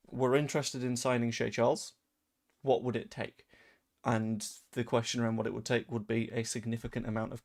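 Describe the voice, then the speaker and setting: A young man from Leeds with a softened Yorkshire accent, hosting a casual live stream and chatting through viewer comments with rambling, dry asides. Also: mid-range voice. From Leeds, England. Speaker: fan channel host.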